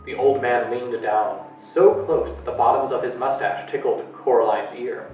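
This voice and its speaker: A man from a library reading a storybook aloud in a lively, animated way. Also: medium pitch, normal speed. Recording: echoey room, distant mic, faint music.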